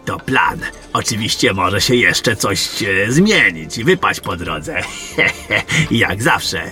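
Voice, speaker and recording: A man playing a jovial, gruff veteran general in a fantasy audio drama, muttering, hesitating and repeating himself. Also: on the low side, animated, takes it slowly, sharp tone, soft background music, heavily acted. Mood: jovial, rambling.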